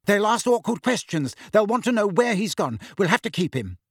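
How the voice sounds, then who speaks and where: A man's voice: sits high, wildly swooping pitch, unhurried, creaky, a bit bright, breathy edge, no room sound, clean studio sound, pitched above the performer's own voice, heavily acted. A man voicing a middle-aged aunt in an audiobook, snappish and easily shaken. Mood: brisk, snappish. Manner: shaky when frightened, clipped when snappish.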